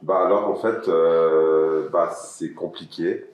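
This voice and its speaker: A man's voice: deep voice, steady tone, takes it slowly, a little mellow, lo-fi sound. A man in his twenties from Switzerland, a guest telling his story in casual chat, hesitant and full of fillers. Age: 20s. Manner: hesitant, many fillers and restarts. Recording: very echoey room.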